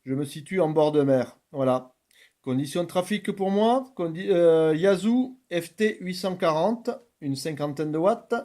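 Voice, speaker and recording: A man's voice: on the high side, a little slow, dark tone. A man making radio contact, courteous, with procedural call-outs and stock phrases. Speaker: amateur radio operator. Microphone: radio's original microphone.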